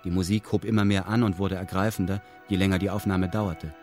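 An actor playing a detached narrator who recounts events in meticulous, matter-of-fact detail in a radio drama. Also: male voice, sits low, flat delivery, brisk, a little mellow, soft background music, natural voice.

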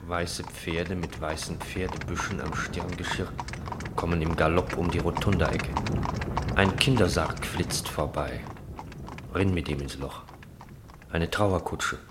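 Male narrator, low register, dramatic scripted radio-play delivery over clear background noise.

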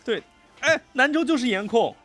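Lively, agreeable man in casual conversation. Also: very high voice, moderate pace, no echo, soft background music. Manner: agreeable, repeats short affirmations. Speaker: voice actor, guest co-host. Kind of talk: casual chat about an audio drama.

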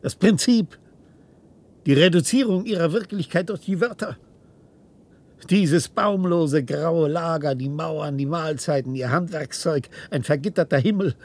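An actor playing an obsessive, cold scientist in a radio drama, lecturing with intensity and secretive command. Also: male voice, a little high, measured pace. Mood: intense, triumphant.

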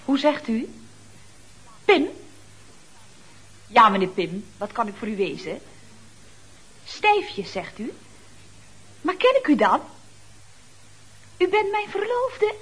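A woman's voice: high-pitched, very animated, slow, long pauses, a bit bright, exaggerated voice. A woman playing a chatty, ironic secretary at a building firm in a Dutch radio comedy serial, speaking with arch, mock-polite expressiveness. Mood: polite, puzzled. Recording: noisy old radio recording.

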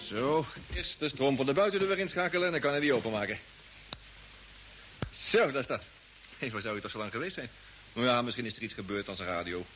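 An actor playing an excitable, chatty young space crewman in a vintage radio play, stammering eagerly. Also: medium pitch, a little fast, pauses often, natural voice. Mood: cheerful, chatty. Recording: noisy vintage radio drama recording.

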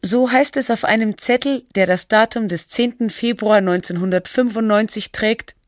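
A woman's voice: on the high side, moderate pace, no echo, clean studio sound, lightly acted. A woman playing a sober, literary narrator and researcher in scripted documentary-style radio drama. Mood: measured, factual.

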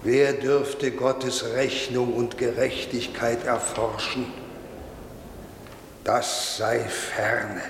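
A solemn old priest preaches sternly and extremely slowly, with weighty pauses. It is an old radio drama recording, noisy, with a long hall reverb around the voice. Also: male voice, medium pitch, flat delivery. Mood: stern questioning. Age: older. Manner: measured, declamatory, rhetorical pauses. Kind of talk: 1950s German radio drama sermon. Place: large reverberant space.

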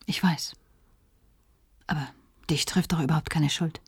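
An actress playing a gentle, pained crewmate who consoles softly, half whispering, in a radio play. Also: female voice, low voice, fairly even intonation, measured pace, slightly rough, dry recording, studio quality, full character voice.

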